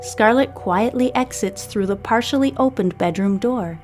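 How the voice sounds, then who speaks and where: A woman narrates a serial story as podcast host. Her voice sits a little high, and faint music plays behind the scripted reading. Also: moderate pace, a little mellow.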